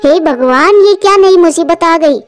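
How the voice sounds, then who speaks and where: A woman voicing excitable, chatty female birds in a children's cartoon, with a dramatic manner. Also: very high voice, unhurried. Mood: dismayed. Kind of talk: Hindi children's cartoon dialogue. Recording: music behind the voice.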